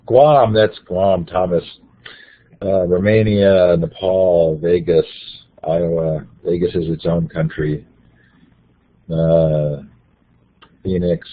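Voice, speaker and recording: Host, man, very low voice, unhurried, phone-line sound. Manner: slow, reads out places from the chat. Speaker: conference host. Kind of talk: moderating an online conference. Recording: tinny phone-like audio.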